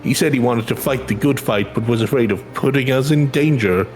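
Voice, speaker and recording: Blunt, proud, guarded widow for a game, mid-pitched for a man, played by a male actor. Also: fairly quick, faint music behind, close to an everyday voice. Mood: bitter. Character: bitter, proud widowed mother of a young girl. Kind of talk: reading video game dialogue in character.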